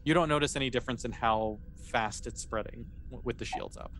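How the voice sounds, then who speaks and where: A man running a tabletop sci-fi game as game master, improvising narration and explaining in an informal, halting way with self-corrections. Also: medium pitch, fairly bright tone, faint music behind. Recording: clearly noisy recording.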